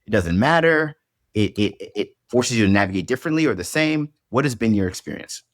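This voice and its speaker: A man's voice: on the low side, lively intonation, a little fast, no echo, clean studio sound. Encouraging man hosting a podcast. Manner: encouraging, repeats words when starting. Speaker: podcast host. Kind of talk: podcast interview.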